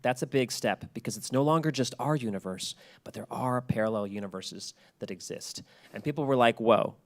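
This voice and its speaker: A man giving an informal public lecture in a chatty, colloquial storytelling way with asides. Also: mid-range voice. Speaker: presenter at a public talk.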